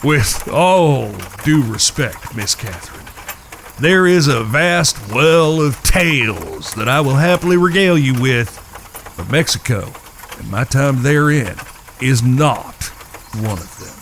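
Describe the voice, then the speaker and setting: Male actor as folksy, courteous Old West gunslinger, forceful bright tone, slight background noise. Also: mid-range voice, lively intonation. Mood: guarded, solemn. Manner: folksy, formal old-fashioned courtesy, drawn-out emphatic phrasing. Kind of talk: Western audio drama acting.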